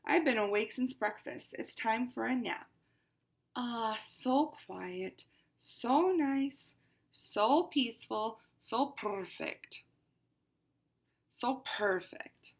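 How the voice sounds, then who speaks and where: A fussy, haughty house cat tells her tale in a storybook voice, unhurried and exclaiming, as a woman reading aloud plays her. The tone sits fairly high. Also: creaky. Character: haughty pampered house cat, female-ish narrator, fussy. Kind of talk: read-aloud picture book.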